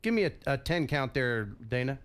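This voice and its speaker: A man's voice: mid-range voice, lively intonation, fairly quick, fairly bright tone, smooth. A man in his fifties with a head cold, hosting a casual live stream with upbeat emcee announcements and jokes. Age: 50s. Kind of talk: casual live show chat.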